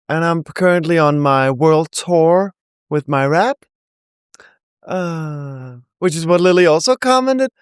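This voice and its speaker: A woman's voice: on the low side, clean tone, no room sound, clean studio sound. A young adult woman with a Danish accent, a rapper guest telling her story in a chatty, rambling way with frequent laughs. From Denmark. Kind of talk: casual interview chat.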